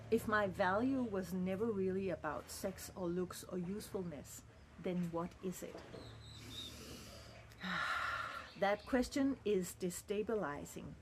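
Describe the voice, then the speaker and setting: A woman's voice: medium pitch, a little mellow, slight background noise. Earnest middle-aged woman reflecting. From Denmark. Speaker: vlogger. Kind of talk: personal reflective monologue.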